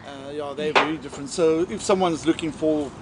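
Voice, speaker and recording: A South African man, a drummer, chatting in a relaxed interview, halting with fillers and joking. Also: on the high side, very noisy. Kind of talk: casual interview chat.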